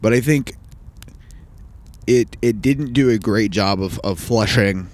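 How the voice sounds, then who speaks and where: A man's voice: lowish voice, takes it slowly. A young man co-hosting a film podcast, casual and slangy in conversation. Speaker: podcast co-host. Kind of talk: casual film review chat.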